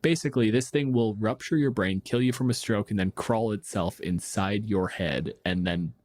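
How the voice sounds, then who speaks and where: A man with a fairly low voice hosts a casual podcast. He explains things in detail with wry emphasis and some swearing. The sound is dry and close. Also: moderate pace, darkish tone, dry recording. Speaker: podcast host.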